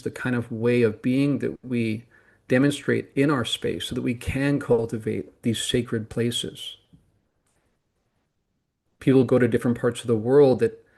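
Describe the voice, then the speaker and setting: A man with a medium-pitched voice leads a guided meditation. He speaks in a calm, deliberate way, as a teacher would, breaking his instructions into short phrases and leaving pauses between them. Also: vocal fry.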